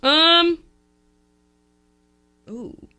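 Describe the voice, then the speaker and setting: A woman's voice: extremely high pitch, animated, drawn-out words, pushed and bright. A woman chatting casually on sports radio, playful and hesitant, with many fillers. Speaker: guest filling out a bracket. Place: radio studio.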